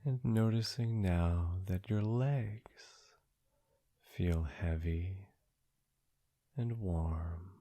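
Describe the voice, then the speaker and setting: A man leading a guided sleep meditation in a soothing, gentle, hushed voice, breathy and often trailing into a near-whisper, with long pauses between short phrases. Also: sits low. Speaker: meditation guide, podcast host.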